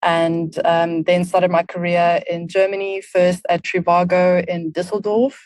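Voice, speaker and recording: A woman's voice: on the low side, little pitch movement, unhurried, a little mellow, no echo. A woman in her thirties with a South African accent, a podcast guest who explains things at length with lots of "um" fillers. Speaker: podcast guest, marketing lead. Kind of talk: casual interview talk.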